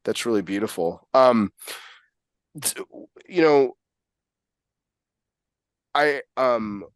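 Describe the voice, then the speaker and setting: A man's voice: medium pitch, expressive, very slow, clear, resonant tone, no echo. A man who hosts a casual interview and talks in an eager, rambling way full of fillers. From United States. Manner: enthusiastic, rambling, full of fillers and "you know". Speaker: podcast host.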